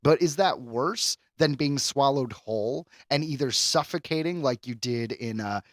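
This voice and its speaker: Animated, rambling male podcast host. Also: mid-range voice, measured pace, no echo, studio quality. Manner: rambling, animated, laughs often. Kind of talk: casual chat.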